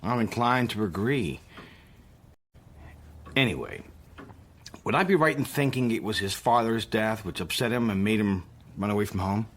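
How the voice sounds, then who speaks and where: An actor playing a cool, secretive criminal who tells his own story in a radio drama, in a measured, understated and wry way. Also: on the low side, lightly acted. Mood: politely probing. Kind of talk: radio drama, first-person narration and dialogue.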